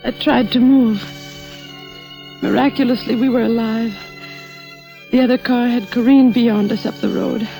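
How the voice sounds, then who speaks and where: An actress playing an anxious, determined wife in a 1940s radio drama, theatrical and earnest. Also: female voice, on the high side, steady tone, unhurried, vocal fry, pauses often, dark tone, faint music behind. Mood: brooding, narrating. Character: anxious, determined wife caught in intrigue, woman. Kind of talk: 1940s radio acting with dramatic first-person narration.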